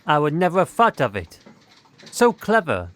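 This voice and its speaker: A man's voice: a little high, huge pitch swings, normal speed, fairly bright tone. An actor playing an admiring, uneasy young man on the run in a radio drama.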